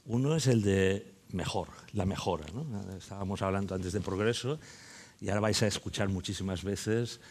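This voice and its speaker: A man's voice: sits low, moderate pace. A man explaining ideas in a panel discussion, rephrasing key terms and often adding checking tags. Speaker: panelist at a congress.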